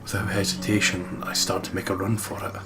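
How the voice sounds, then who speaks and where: A man's voice: lowish voice, level tone, a little fast. A man reading first-person horror narration aloud dramatically as a let's play host, with game music behind him. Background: prominent game music.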